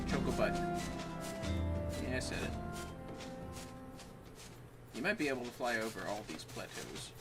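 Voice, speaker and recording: A man's voice: medium pitch, animated, a little slow, pauses often. A man casually commentating on a video game as a livestream co-host. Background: game music.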